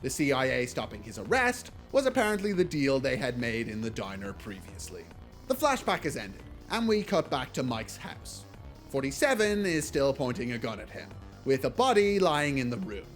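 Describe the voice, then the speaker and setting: A man's voice: mid-range voice, very animated, normal speed, fairly bright tone. A man delivering scripted video essay commentary in a blunt, casually sardonic way. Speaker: video essayist reviewing films. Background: music.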